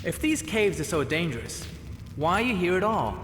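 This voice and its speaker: Bold young game swordsman, earnest, animated, high-pitched, reverberant, faint noise. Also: normal speed. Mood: dry, resolute. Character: young male hero swordsman, bold and sardonic. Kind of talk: video game character acting. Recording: echoey reverberant sound, ambient noise.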